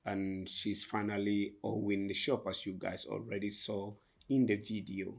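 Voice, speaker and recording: A man's voice: fairly low pitch, little pitch movement, a little slow, creaky. A man hosting an interview in a shop, chatty and enthusiastic, often repeating his questions. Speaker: interviewer, host. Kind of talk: casual interview. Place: boutique, indoors.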